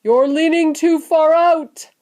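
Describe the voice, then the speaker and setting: Woman as exasperated father, blustering, grumbling, animated intonation, children's story. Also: lowish voice, a little slow.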